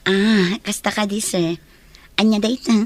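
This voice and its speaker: A woman's voice: mid-range voice, fairly even intonation, normal speed, close to an everyday voice. A woman with an Ilocano accent playing a polite, gossipy schoolteacher in an audio drama. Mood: curious. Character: inquisitive, gossipy female schoolteacher, polite to colleagues.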